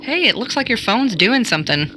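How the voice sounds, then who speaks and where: A man's voice: very high-pitched, very animated, brisk, pushed and bright, faint music behind, heavily acted, low-quality recording. An actor playing a pushy, curious man in an audio drama, egging friends on. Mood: eager, pushy.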